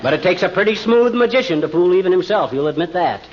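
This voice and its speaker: A man's voice: high-pitched, lively intonation, quick pace, a little hiss or hum. An actor playing a boastful, bumbling husband in a 1940s radio comedy, blustery and folksy, chuckling at his own jokes. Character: boastful bumbling middle-aged husband, tall-tale teller. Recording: dull old radio recording, studio audience laughter.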